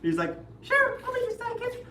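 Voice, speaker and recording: A man's voice: very high-pitched, wildly swooping pitch, brisk, background noise. A young man chatting casually on a livestream, enthusiastic and talkative, swearing easily and acting out characters. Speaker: livestream co-host. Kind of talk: casual chat. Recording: echoey room, mic at a distance.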